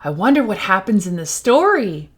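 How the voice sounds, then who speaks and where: A woman hosting a read-aloud for young children, speaking in an animated, child-directed way. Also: medium pitch, moderate pace, slightly rough.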